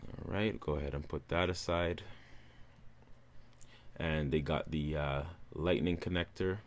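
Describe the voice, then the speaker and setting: A man, casually talking viewers through a phone unboxing in a relaxed, upbeat way. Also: very deep voice, a little slow. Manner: relaxed, upbeat presenter addressing viewers as guys. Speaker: tech reviewer.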